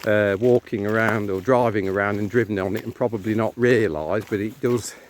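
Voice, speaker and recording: A middle-aged man with an English accent gives casual, rambling commentary as a chatty vlog guide with dry humour. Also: brisk. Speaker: walking vlog host and local guide. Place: moving car, later open farmland track. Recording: noisy handheld recording, car and outdoor noise. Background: car rumble, outdoor ambience.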